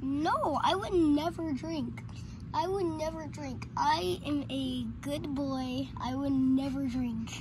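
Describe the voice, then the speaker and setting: A very high-pitched, frightened young boy acted by a female performer in a homemade horror skit. He speaks slowly and haltingly, whining and repeating himself as he pleads. The recording is clearly noisy. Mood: anxious, insistent. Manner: whiny, halting, repetitive, pleading. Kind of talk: amateur improvised horror skit.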